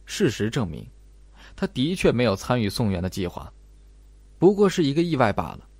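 Audiobook role: clever young male sleuth voiced by a man, calm, measured, explanatory, dry sound. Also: medium pitch, breathy edge, no room sound. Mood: cool, analytical.

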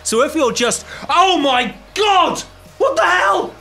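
A man's voice: very high voice, expressive, slow, pushed and bright, exaggerated voice. A man playing a polite but exasperated married salon customer in a comic audio play, hesitant and stammering. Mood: outraged. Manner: hesitant, stammering, repeats words. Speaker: actor in an amateur audio play. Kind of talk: comedic radio-play acting.

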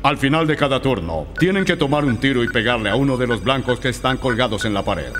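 An actor playing a stern, authoritative football coach in a cartoon, giving instructions. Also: male voice, mid-range voice, lively intonation, fast, a bit bright, soft background music, lightly acted. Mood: stern, explaining.

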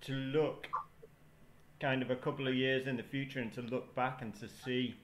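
A man's voice: medium pitch, flat delivery, normal speed. A man on a video call panel, speaking thoughtfully and repeating words as he thinks. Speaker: panelist, festival team member. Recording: echoey room with clear noise.

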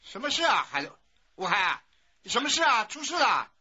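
A man's voice: very high-pitched, very animated, normal speed, background noise. An actor playing a fussy, suspicious old grandfather in a radio drama, blustering and repeating his questions. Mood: suspicious, worried. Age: older. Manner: loud, blustering, repetitive questioning. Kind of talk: Chinese radio drama acting. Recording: dull lo-fi audio with music.

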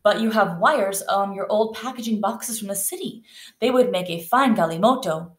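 A woman's voice: mid-range voice, normal speed, echoey room, pitched above the performer's own voice, natural voice. A woman voicing a clever, determined seven-year-old boy in a read-aloud children's storybook. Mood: earnest.

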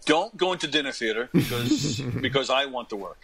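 A fifty-year-old man, chatting casually as an interview guest and telling stories in an animated, conversational way. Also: mid-range voice, a little fast, creaky, a crowd in the background, poor audio. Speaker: interview guest, actor and comedian. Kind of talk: casual interview anecdotes and advice.

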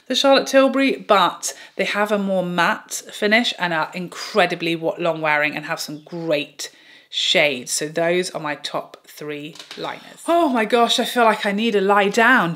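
A woman beauty vlogger reviewing makeup to camera in chatty, gushing talk, her voice a bit hoarse and tired from talking so long. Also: medium pitch. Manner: gushing, animated and playfully self-mocking.

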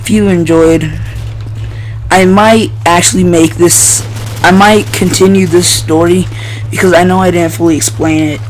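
A man's voice: high-pitched, fairly even intonation, a little slow, rough recording. A young man telling a rambling, profane story casually while playing a shooter game. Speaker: video host. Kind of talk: casual storytelling over gameplay. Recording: loud game music and gunfire behind. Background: shooter game music, gunshots, vehicles.

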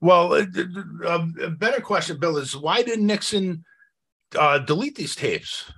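A man's voice: high voice, a little slow, vocal fry, a bit bright, breathy edge. A man co-hosting a podcast, talking through history in an insistent, questioning, conversational way. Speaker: podcast co-host.

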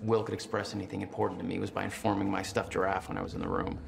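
Gravelly, skeptical action-game hero. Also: male voice, deep voice, level tone, very fast. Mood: skeptical, casual. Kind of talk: cinematic video game acting. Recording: clear ambient noise behind the voice.

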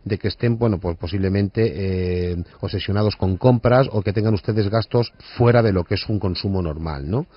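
Un hombre que interpreta a un empleado de banco formal, insistente y prolijo en una broma telefónica. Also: male voice, on the low side, brisk, few pauses, slightly rough, no room sound, pitched below the performer's own voice. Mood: formal, officious. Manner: polite, officious, hesitant, wordy. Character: formal, insistent male bank card-department official. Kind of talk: prank phone call acting. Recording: dull lo-fi radio phone call.

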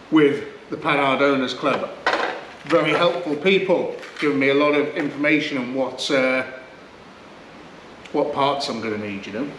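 A man's voice: mid-range voice, roomy sound, a little hiss or hum, low-quality recording. A man, chatting casually to camera and to himself while he works on an old car. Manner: chatty, relaxed, talks to himself while working. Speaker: classic car restoration vlogger. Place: garage or barn workshop.

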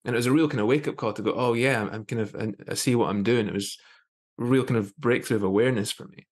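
A man in his thirties hosting a conversational interview over a video call, rephrasing haltingly as he thinks. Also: mid-range voice. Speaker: podcast host. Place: small plain room indoors. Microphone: video call with earphones.

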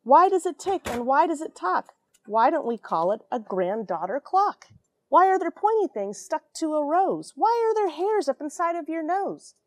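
Woman voicing a high, inquisitive little storybook girl. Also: very animated, normal speed, no echo. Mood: insistent curiosity. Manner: inquisitive, emphatic questioning. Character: curious three-year-old girl, full of questions.

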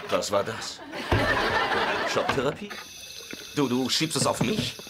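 An actor playing a grumbling, sarcastic husband in a German-dubbed sitcom. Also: male voice, mid-range voice, very animated, unhurried. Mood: defensive. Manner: sarcastic, griping. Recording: noisy sitcom soundtrack.

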